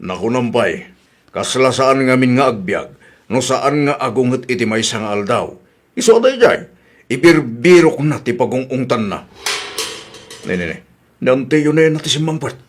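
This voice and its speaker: A man's voice: medium pitch, animated, moderate pace, pauses often, natural voice. A man playing an exasperated, blunt relative who grumbles and admonishes in a radio comedy drama. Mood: grumbling.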